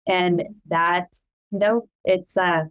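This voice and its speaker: A woman's voice: on the low side, fairly even intonation, slow pace, smooth, muffled recording. Chatty middle-aged woman, Cebuano native. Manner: warm, proud and chatty, laughs often. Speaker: podcast host. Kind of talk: casual family chat.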